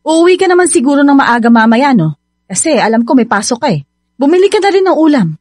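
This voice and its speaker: A scolding Filipino mother in a radio drama. Her voice is high and swoops widely as she belittles and demands, dismissive and sarcastic. The sound is dry and close. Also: very animated, quick pace, darkish tone, dry recording. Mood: grudging, demanding. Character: nagging, greedy, belittling mother of a working daughter. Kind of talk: radio drama acting in Tagalog.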